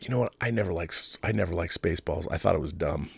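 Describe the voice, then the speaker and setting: A man's voice: low-pitched, quick pace, vocal fry, breathy edge, no room sound. A man hosting a livestream, talking casually and reading things out, with conversational asides and repeated phrases for emphasis. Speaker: livestream host. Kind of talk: casual live commentary, reading out comments and articles.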